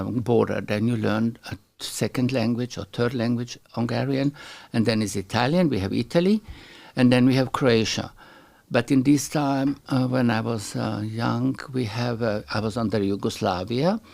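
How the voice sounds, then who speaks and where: A middle-aged man from Slovenia with a Slovenian accent, a native Slovenian speaker, chatting as an interview guest and often pausing to search for English words. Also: mid-range voice, steady tone, gravelly, a little mellow. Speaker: guest artist. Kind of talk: casual interview chat.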